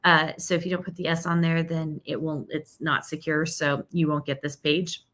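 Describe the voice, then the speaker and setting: A woman's voice: low voice, level tone, moderate pace, a bit bright. A middle-aged woman, a marketing coach, teaching live in an upbeat, chatty way, checking in with "okay?" and "right?", and saying she is losing her voice.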